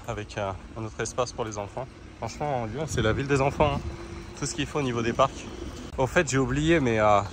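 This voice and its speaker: A man, a coach and nutritionist, vlogging casually to his viewers while riding a kick scooter through city parks and streets, talking straight to camera with plenty of fillers. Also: medium pitch. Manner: chatty, addresses viewers directly, fillers and asides. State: strained from exertion. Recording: noisy outdoor street sound. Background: outdoor city ambience.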